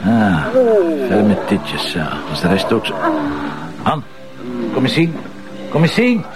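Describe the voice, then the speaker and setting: An actor playing a wry, stubborn police commissioner at home in a crime drama, gravelly, with a Flemish accent. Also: male voice, on the low side, wildly swooping pitch, a little slow, over music. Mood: stubborn, mocking.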